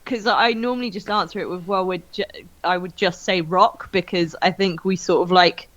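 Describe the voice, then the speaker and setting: A woman's voice: on the low side, steady tone, normal speed, fairly bright tone. Dry-humored Englishwoman on a dull call line. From England. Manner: dry, self-mocking humor. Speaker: band singer guest. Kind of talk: casual interview chat.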